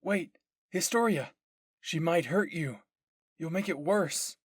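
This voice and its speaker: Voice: mid-range voice, lively intonation, slow, breathy edge, no echo, voice pushed up. A performer acts out a teenage boy soldier in an anime audio drama. He is anguished, protective and impassioned. His urgent pleas come through very dry and close. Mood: frantic. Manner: urgent, emotional pleading.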